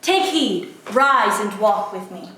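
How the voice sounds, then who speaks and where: A woman's voice: mid-range voice, animated, slow pace, bright, forceful tone. A woman playing a sardonic Christmas spirit in an amateur dramatic reading, grand but given to flippant asides. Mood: solemn. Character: sardonic female Christmas spirit guiding a miser. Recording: very echoey room, faint noise.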